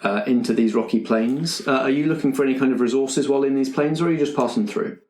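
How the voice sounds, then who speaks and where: A man's voice: mid-range voice, fast talker, a little mellow. A man narrating a tabletop game as game master in a conversational, hesitant way, recorded in an echoing room. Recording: roomy, echoing sound.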